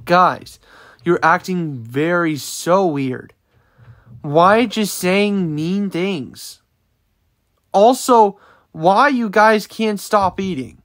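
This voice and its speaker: A woman's voice: fairly low pitch, animated, drawn-out words. A woman amateur voice actor playing an earnest, dramatic, easily startled cartoon character. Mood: alarmed. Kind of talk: performed character voice lines.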